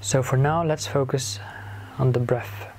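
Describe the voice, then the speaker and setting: A man leading a guided meditation in a soothing manner, giving gentle instructions in short phrases with long pauses between them. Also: mid-range voice, steady tone. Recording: clear background noise, ambient sound.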